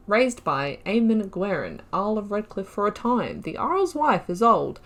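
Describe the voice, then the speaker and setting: A woman hosting a game playthrough, reading lore entries aloud and breaking off into casual, rambling asides. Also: lowish voice. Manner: chatty, trails off and restarts mid-sentence. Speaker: gaming video host.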